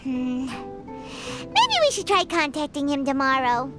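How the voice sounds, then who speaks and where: A woman voicing a tiny, excitable, childlike fairy companion in an exclaiming video game style. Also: high-pitched, slow, over loud music, full character voice. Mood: puzzled, chatty. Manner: refers to herself in third person, exclaiming. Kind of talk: English video game voice acting.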